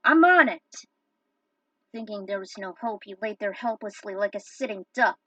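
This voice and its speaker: A woman's voice: mid-range voice, flat delivery, brisk, fairly bright tone, dry recording, recorded from a distance. A woman reading story narration, with casual, grumbling asides. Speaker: narrator reading own fan fiction over game cutscenes.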